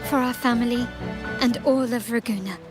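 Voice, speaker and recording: A poised young heiress played by an actress speaks in a fairly high voice. She sounds cool, proud and resolute, as in a dramatic game monologue. Music plays behind her. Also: moderate pace, natural voice. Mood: composed, resolute.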